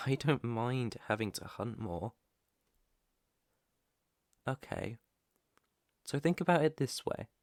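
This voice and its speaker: Casting: male actor as protective British alpha werewolf, tender and naive, creaky voice, unhurried delivery, intimate ASMR roleplay. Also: lowish voice, no room sound, studio quality. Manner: soft, tender, unhurried, reassuring. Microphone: close microphone, dry.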